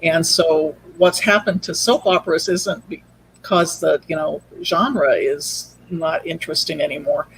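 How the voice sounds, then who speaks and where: A woman's voice: low voice, steady tone, a little slow, breathy edge, rough recording. A woman speaking as an interview guest, telling her story in a casual, conversational way. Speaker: podcast guest, audio drama producer.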